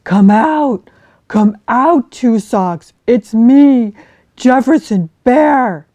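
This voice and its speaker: A woman voicing a big brown male bear, speaking loud and slow in a children's storybook reading. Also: fairly low pitch, dry recording. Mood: calling.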